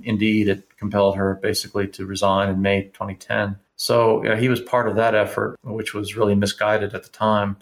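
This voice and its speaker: Low, gravelly man giving measured analysis. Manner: measured, halting, formal vocabulary. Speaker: political analyst, interview guest. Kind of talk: spoken political analysis in a conversation.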